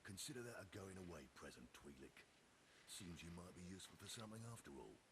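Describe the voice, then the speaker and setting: A cruel, mocking jailer from a sci-fi game. His voice is gravelly and swings up and down as he sneers. Clear hiss runs behind him. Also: sits low, big pitch swings, quick pace. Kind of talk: sci-fi video game acting. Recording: hissy, noisy background.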